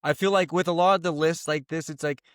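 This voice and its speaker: A man in casual podcast chat, his voice clean and resonant in a dry, close setting. He rambles excitably and swears freely, sounding unscripted. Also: on the high side, fast, no echo. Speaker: podcast co-host. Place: lives in Los Angeles.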